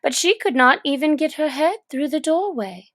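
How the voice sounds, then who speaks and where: A woman reading a classic children's story aloud in an expressive, gentle storytelling manner. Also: high voice, moderate pace. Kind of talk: read-aloud storybook narration.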